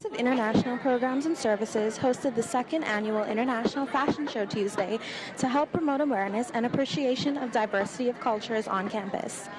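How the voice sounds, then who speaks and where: A woman's voice: a little high, a little fast, crowd noise, poor audio. Woman reading a news voice-over. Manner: even scripted narration. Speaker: reporter.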